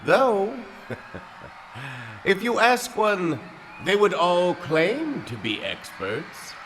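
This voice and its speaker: A man's voice: high-pitched, huge pitch swings, very slow, a bit bright, slight background noise, full character voice, poor audio. An actor playing a sly, theatrical showman of rogues in an audio drama, teasing as he speaks. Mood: amused, teasing.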